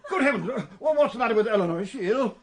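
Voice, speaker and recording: A man's voice: very high-pitched, lively intonation, very fast. An actor playing a blustering, agitated older English father in a 1920s murder mystery radio play, with faint noise behind. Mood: alarmed.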